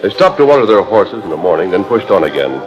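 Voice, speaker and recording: A man's voice: low voice, very animated, quick pace, highs cut off. A man narrating a western adventure in dramatic 1940s radio style. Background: music.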